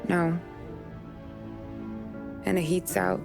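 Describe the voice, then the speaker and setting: A young working-class woman in a cinematic game. Her voice is slightly low, blunt and folksy, bossy yet caring. Music is clearly heard behind her. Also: flat delivery, very slow, heavily acted. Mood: firm, teasing. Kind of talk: cinematic crime game acting.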